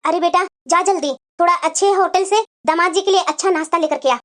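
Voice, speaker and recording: A woman's voice: extremely high pitch, fairly quick, a bit bright, pitched below the performer's own voice. A woman voicing a fussy elderly mother-in-law who bosses the household in a cartoon. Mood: fussing. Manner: bossy, scolding. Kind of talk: cartoon voice acting.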